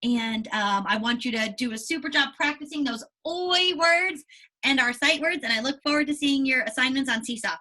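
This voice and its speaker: A woman's voice: high voice, expressive, moderate pace, sharp tone. A woman teacher reading a children's book aloud, explaining it to young pupils in an instructive way with frequent check-in questions. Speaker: elementary school teacher.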